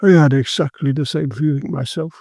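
A man voicing a calm, serious occult investigator in a gothic horror story, grave and quiet. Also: on the high side, brisk, no room sound, studio quality.

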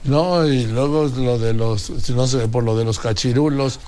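A man's voice: mid-range voice, a little slow, darkish tone. An older man, interviewing conversationally and reminiscing as he goes.

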